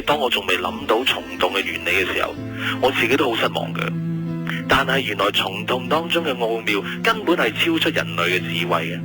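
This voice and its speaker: A patient scientist from the future in a radio play, played by a man. He speaks gentle, reassuring Cantonese with a Hong Kong accent in a fairly low voice. Music plays clearly behind him. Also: lightly acted. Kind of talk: radio drama acting.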